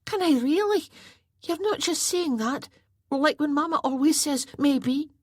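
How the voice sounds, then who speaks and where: A woman doing a high, eager little boy. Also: animated, measured pace, a little mellow, dry recording, clean studio sound. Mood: thrilled. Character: eager little Scottish boy, excited and sweet.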